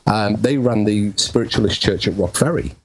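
Low-voiced man, hesitant guest. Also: slightly rough, poor audio. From England (Wirral). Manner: measured, hesitant, many fillers. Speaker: guest, paranormal researcher. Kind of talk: conversational interview.

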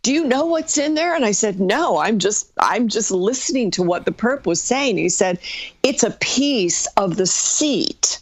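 A middle-aged woman, a psychic guest in an interview, recounting her experiences in an earnest, deliberate way. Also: a little high, expressive, no room sound. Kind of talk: conversational storytelling interview.

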